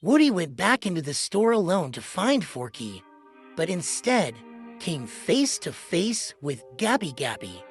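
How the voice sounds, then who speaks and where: A woman reads a children's story as narrator. Her voice is a little low and swings widely in pitch, at a slow, measured pace. Music plays clearly underneath. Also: big pitch swings, no room sound. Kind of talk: scripted children's read-along narration. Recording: music behind the voice.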